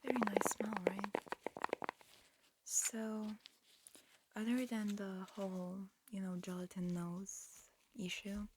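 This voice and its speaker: A woman whispering breathily in a gentle, halting way, close to the mic, playing a politely fussy examiner in an ASMR roleplay. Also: mid-range voice, fairly even intonation, noisy background. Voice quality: breathy, mostly whispered with soft murmurs. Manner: gentle, unhurried, halting instructions. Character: disguised alien medical examiner, politely fussy and encouraging.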